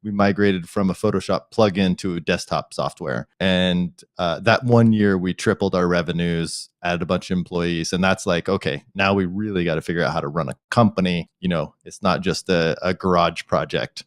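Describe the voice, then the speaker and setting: A man from the United States talks as a podcast guest with a low voice. He tells his stories in a relaxed, self-deprecating way and laughs often. The sound is dry and close to the mic. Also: normal speed, few pauses, no room sound. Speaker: podcast guest, software founder. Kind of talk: casual interview storytelling.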